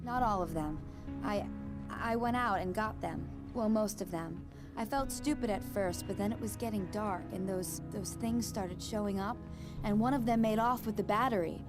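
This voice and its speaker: A woman playing an uneasy young woman in a video game, talking in a halting, nervous, naturalistic way. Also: mid-range voice, close to an everyday voice. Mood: uneasy, bewildered. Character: uneasy, hesitant young woman caught in strange events. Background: music.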